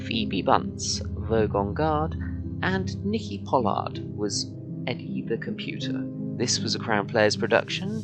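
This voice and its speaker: Male announcer, scripted credits, slow delivery, lively intonation, loud music behind. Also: mid-range voice.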